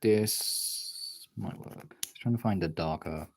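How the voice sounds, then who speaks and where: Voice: sits low, slow pace, background noise. A streamer chatting casually while drawing, rambling and thinking aloud in a self-deprecating way.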